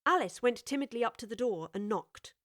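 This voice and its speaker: A woman narrating a storybook with clear, expressive scripted storytelling. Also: on the high side, brisk, no room sound, clean studio sound.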